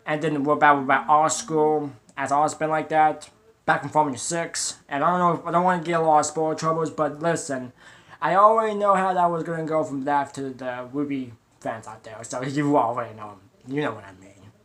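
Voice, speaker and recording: A man's voice: fairly high pitch, pushed and bright. A man hosting a reaction video, chatting casually and directly to viewers in a rambling, repetitive way. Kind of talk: casual trailer reaction chat.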